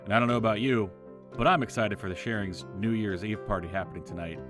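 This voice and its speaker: Folksy male group leader played by an actor, polished reassurance, lowish voice, audio drama with clear music bed. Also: steady tone, fast, lightly acted. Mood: cheerful. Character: upbeat, folksy man leading a community group.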